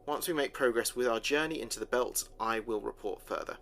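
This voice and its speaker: A man playing an earnest, uneasy spaceship communications officer, recording a halting, deliberate mission log in a sci-fi audio drama. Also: mid-range voice, a bit bright, close to an everyday voice. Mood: grave report. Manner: halting, deliberate, pausing mid-sentence. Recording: clear background noise and ambience.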